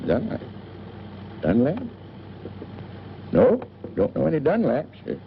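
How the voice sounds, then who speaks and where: A man's voice: on the high side, huge pitch swings, slow pace, gravelly, full character voice. An actor playing a chatty small-town newspaperman in an old film, with an American accent and a folksy, rambling manner in clipped sentences. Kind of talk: 1940s film acting. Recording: dull old film soundtrack, faint noise. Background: car engine.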